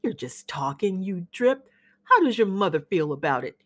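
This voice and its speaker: A woman's voice: on the low side, very animated, normal speed, no echo. A woman reading a play aloud, voicing a plain-spoken young man who teases his friend bluntly. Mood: teasing.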